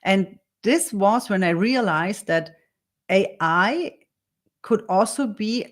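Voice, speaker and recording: A woman with a German accent, a native German speaker, calmly talking through her answers in English as a copywriter teaching a workshop. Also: mid-range voice, takes it slowly. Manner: earnest, explains step by step in second-language English. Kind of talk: dictated spoken answers in a workshop demo.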